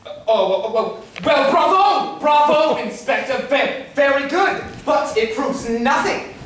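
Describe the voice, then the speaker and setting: A man's voice: very high-pitched, animated, measured pace, a bit bright, full character voice. Man acting a flustered genteel suspect, echoey stage. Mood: flustered, indignant. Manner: theatrical, stammering, old-fashioned genteel phrasing. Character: defensive, flustered upper-class man, a murder suspect. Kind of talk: staged murder-mystery comedy stage acting. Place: live staged reading in an echoey room. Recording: echoey room, distant mic, faint noise.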